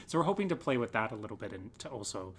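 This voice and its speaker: A man's voice: lowish voice, big pitch swings, racing, husky. A man speaking casually as an interview guest on a podcast. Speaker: podcast guest, theatre maker.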